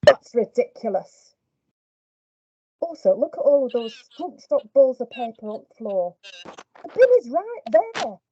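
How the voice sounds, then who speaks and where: A stern teacher, played by a woman who scolds in a high, lively, mellow voice. The sound is dull, lo-fi and dry. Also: a little slow, husky, exaggerated voice. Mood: scolding.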